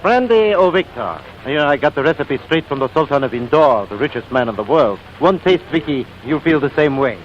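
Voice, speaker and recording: An actor playing a suave, boastful gourmand suitor in 1940s comedy style. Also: male voice, a little high, expressive, a little fast, few pauses, slight background noise, lightly acted. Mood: suave, showy. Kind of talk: 1940s comedy film or radio acting. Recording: muffled vintage recording, music behind.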